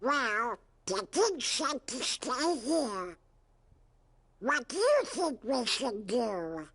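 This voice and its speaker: A man's voice: extremely high pitch, big pitch swings, extremely slow, sharp tone, slightly rough, heavily acted. A man voicing a small, fretful, conscientious cartoon cricket advisor in a video game. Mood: unsure.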